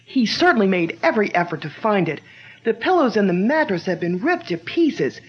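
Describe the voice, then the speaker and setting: A woman's voice: mid-range voice, very animated, normal speed, close to an everyday voice. An actress playing an eager young woman assistant to a detective chief, speaking expressively in a 1940s-style radio drama. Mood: curious. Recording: dull lo-fi old radio recording.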